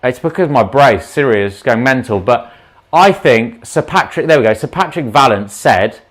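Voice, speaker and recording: A man with a slight sore throat and a sniffle, a doctor talking straight to his viewers on a livestream. His voice sits at an ordinary middle pitch for a man. He speaks off the cuff, outspoken and impassioned, often drifting into asides. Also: animated, moderate pace, a bit bright. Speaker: doctor giving a livestream talk. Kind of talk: unscripted livestream talk to viewers.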